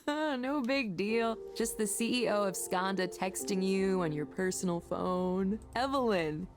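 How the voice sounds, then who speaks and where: A woman's voice: mid-range voice, slow pace. A woman playing a curious, self-deprecating young woman in a visual novel, in a natural conversational way. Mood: impressed, teasing. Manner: conversational, animated, self-effacing. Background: game music.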